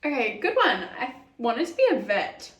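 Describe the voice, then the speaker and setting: A young woman talks through fan questions in a loose, giggly way, her voice sitting fairly high. She leans on "like" as she goes, and the room around her rings with a noticeable echo. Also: big pitch swings, moderate pace. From Australia. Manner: chatty, giggly, lots of "like" fillers. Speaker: YouTuber answering fan questions. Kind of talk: casual Q&A chat.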